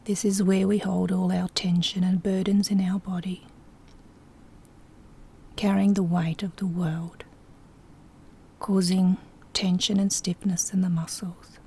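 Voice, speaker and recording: A soft-spoken woman with a breathy, whispery voice gently guiding a sleep hypnosis session in a soothing, instructing way. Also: fairly low pitch, little pitch movement, unhurried, long pauses. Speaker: hypnosis guide.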